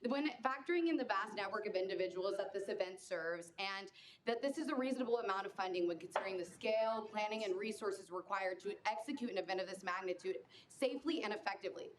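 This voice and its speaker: A woman's voice: mid-range voice, fast, noisy background. A young woman delivering a formal, rehearsed persuasive speech in favor of a funding bill. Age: young adult. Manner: formal, rehearsed, polished cadence. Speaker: student speaker for a funding bill. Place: student senate meeting room.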